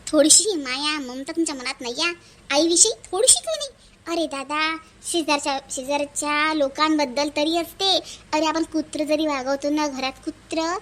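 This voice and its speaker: A woman plays a righteous, outspoken young neighbour in a cartoon family drama. The pitch is extremely high. She moralizes with emphatic reproach. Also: fairly bright tone, a little hiss or hum.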